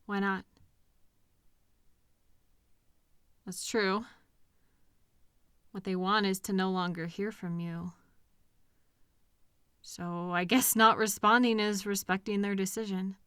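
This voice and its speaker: A woman playing a caring, protective partner in an intimate comfort audio roleplay, speaking gently and earnestly in a reassuring, conversational way with hesitant pauses. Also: medium pitch, steady tone, clear, resonant tone, no room sound, studio quality, close to an everyday voice. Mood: resigned, sympathetic. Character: caring, protective partner consoling a hurting loved one.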